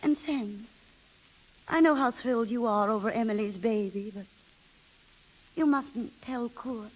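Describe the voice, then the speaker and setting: A restless, vain wife in a 1930s radio drama. She coaxes theatrically in a high voice, clinging to her youth. The old broadcast sounds dull and boxed-in. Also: measured pace. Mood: fretful.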